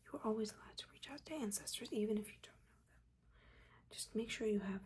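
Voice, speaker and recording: A woman voicing a judgmental but caring witch mentor in a breathy, chatty, chiding whisper, in a soft-spoken ASMR roleplay. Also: medium pitch, brisk, lightly acted. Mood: confiding, exasperated. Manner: chatty, chiding, casual slangy asides.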